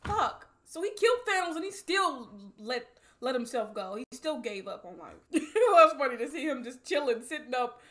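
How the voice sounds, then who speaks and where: A woman in her twenties giving casual, slangy, animated reaction commentary and swearing freely. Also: high-pitched, moderate pace, hardly any pauses. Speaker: movie reaction host. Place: small indoor room set up as a streaming studio.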